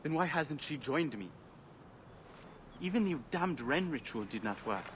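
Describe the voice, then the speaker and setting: An actor playing a devout, grieving widower of ancient Egypt in a video game, speaking earnestly and gently in formal phrases. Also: male voice, on the high side, big pitch swings, a little slow, a little hiss or hum. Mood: sorrowful. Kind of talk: dramatic video game acting.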